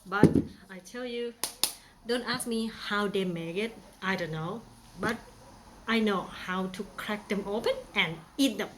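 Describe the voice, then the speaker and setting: Slow-talking Thai-accented woman. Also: mid-range voice, background noise. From Thailand. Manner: chatty, playful, many fillers. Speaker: vlogger. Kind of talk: casual how-to demonstration.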